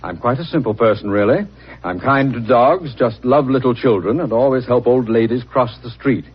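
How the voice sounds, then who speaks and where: An actor playing a breezy, self-mocking gentleman importer and amateur sleuth, bantering urbanely in 1940s radio style. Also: mid-range voice, normal speed, husky, natural voice. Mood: playful, breezy. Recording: dull, noisy old radio recording.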